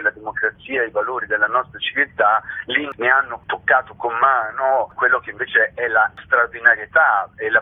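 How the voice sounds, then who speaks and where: A man's voice: lowish voice, husky. A man being interviewed on radio as a theatre director, speaking in long, winding sentences over a telephone. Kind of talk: radio interview, promoting a stage show. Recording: tinny phone line.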